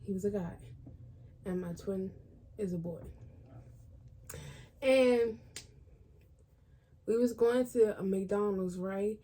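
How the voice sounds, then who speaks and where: A woman's voice: medium pitch, takes it slowly, pauses often, mellow, husky. A woman vlogger telling a personal story in casual, colloquial speech, acting out what people said. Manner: informal, colloquial phrasing.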